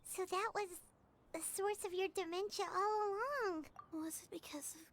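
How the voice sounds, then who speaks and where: A woman's voice: very high-pitched, normal speed, fairly bright tone. A woman voicing a sweet, anxious young granddaughter in a video game, earnest and pleading in her concern.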